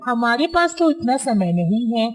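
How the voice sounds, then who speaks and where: Lady doing a worried pigeon guy for a cartoon, high pitched, complains a lot. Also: sing-song, moderate pace. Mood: worried, upset. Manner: complaining, fretful.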